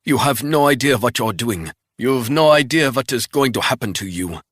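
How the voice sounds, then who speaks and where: A man's voice: mid-range voice, expressive, normal speed, a bit bright, husky, no echo, voice pushed up. A man voicing a panicked middle-aged male spy who pleads and then sneers at his captor, in a dry, close audiobook reading. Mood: taunting.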